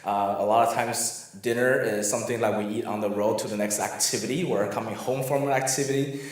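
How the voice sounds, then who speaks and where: A man's voice: medium pitch, fairly even intonation, brisk. A middle-aged man from Taiwan who moved to the US as a child, giving an informal talk as a guest workshop speaker. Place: reverberant room in a church. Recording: very echoey church room.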